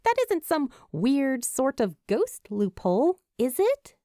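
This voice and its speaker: A woman's voice: high voice, sing-song, slow, mellow, dry recording, clean studio sound, voice pushed up, full character voice. A woman voicing a caring, flustered and skeptical teenage girl in breathless audiobook dialogue. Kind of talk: acted fan fiction audiobook dialogue.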